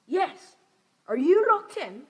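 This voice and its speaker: A woman's voice: very high-pitched, very animated, slow pace, heavily acted. A woman playing a plucky, earnest young local child in a children's audio adventure. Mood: anxious. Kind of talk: children's audio play acting. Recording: long reverb, some noise.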